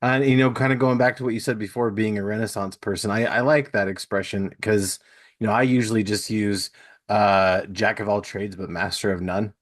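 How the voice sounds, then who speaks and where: A man's voice: on the low side, fairly even intonation, normal speed, no room sound, studio quality. A man hosting a podcast, talking in a chatty, informal interview style. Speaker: podcast host. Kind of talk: casual conversational interview.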